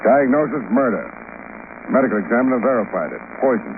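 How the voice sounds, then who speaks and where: An actor playing a wisecracking private investigator who narrates and questions in a radio drama, deadpan and sardonic. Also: male voice, medium pitch, fast talker. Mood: cool, probing. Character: dry, wisecracking hardboiled male private investigator. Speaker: detective lead and narrator. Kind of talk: 1950s radio detective narration and dialogue. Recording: noisy, tinny old radio recording.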